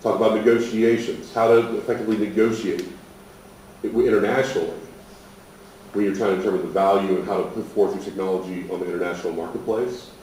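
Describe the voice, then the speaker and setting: A man's voice: on the low side, steady tone, fast talker, a little mellow. An American man giving an informal presentation as an instructor, plain-spoken and self-deprecating. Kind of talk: informal presentation at a programme opening. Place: echoey indoor presentation room. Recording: echoey room, distant mic, faint noise.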